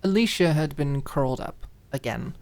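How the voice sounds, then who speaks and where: A woman's voice: deep voice, lively intonation, normal speed, a little mellow. Woman narrating a dramatic audiobook. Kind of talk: scripted dramatic story narration.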